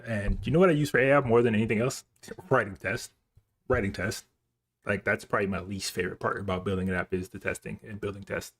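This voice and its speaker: A man's voice: fairly low pitch, steady tone, fast, a bit bright, husky. A man livestreaming in an informal, slangy way, thinking aloud as he chats with viewers. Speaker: live coding streamer. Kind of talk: casual livestream chat, reading and answering viewer comments.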